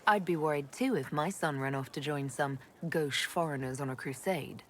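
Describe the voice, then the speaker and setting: A woman's voice: sits low, moderate pace, lightly acted. A woman voicing the frank, questioning leader of a fantasy order in a video game, in a direct and skeptical manner. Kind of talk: video game dialogue acting. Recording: clear background noise, game ambience.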